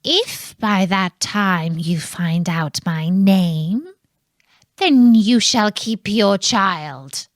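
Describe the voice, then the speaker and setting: A woman voicing a gleeful, bargaining, fierce-tempered little goblin man in a children's storybook, with drawn-out, emphatic delivery. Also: medium pitch, no echo, studio quality.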